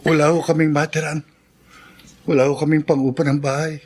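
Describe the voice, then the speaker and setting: An actor playing a dejected, respectful young son in a Filipino radio drama, husky, polite and plaintive. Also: male voice, on the high side, little pitch movement, a little fast, a little mellow. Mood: dejected, pleading.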